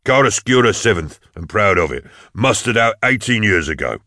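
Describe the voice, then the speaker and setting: A man voicing a fat, gruff man in his sixties, an old soldier and building caretaker in an audiobook. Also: fairly low pitch, sing-song, normal speed, bright, forceful tone, no room sound, clean studio sound. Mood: deferential. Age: 60s. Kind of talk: audiobook character dialogue.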